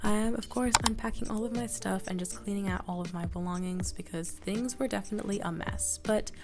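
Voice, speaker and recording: A teenage girl talks quickly and chattily, her voice gravelly and a little nasal from being sick. She narrates her vlog in an upbeat, self-mocking tone, with soft music playing under her words. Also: medium pitch. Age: late teens. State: scratchy, nasal from a cold. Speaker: planning vlogger. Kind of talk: casual voice-over narration.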